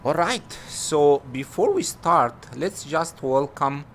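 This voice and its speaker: A man hosting a live interview show, speaking eagerly in a halting way with non-native phrasing. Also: a little high, expressive, slight background noise. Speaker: host.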